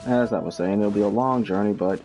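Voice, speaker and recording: Casting: male game streamer, low and unhurried, dryly grumbling in casual commentary over prominent game music. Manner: halting, grumbling, dry. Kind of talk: casual live gameplay commentary.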